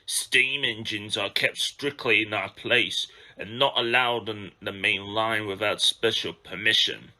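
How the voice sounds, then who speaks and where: A man's voice: on the low side, fairly even intonation, unhurried, hardly any pauses, bright, forceful tone. A man voicing a haughty, self-important diesel engine in a children's storybook, with a lofty, disdainful manner.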